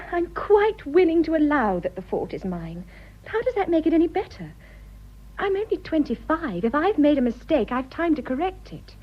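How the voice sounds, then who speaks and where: Frank young British upper-class wife, composed but firm, highish lively voice, period radio drama, slightly dull sound. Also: female voice, moderate pace. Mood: resolute. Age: 20s. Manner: earnest, composed, direct.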